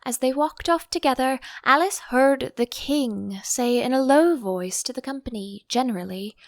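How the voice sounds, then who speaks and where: A woman reading an audiobook as an expressive storybook narrator, close to the microphone. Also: sits high, moderate pace, no echo.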